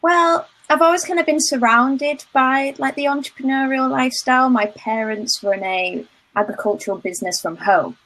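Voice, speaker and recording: Young northern English woman, cheerful guest on a video call, quick to laugh. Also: high-pitched, fairly bright tone, low-quality recording. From northern England. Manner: chatty, laughs often. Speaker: guest, procrastination coach. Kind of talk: casual interview.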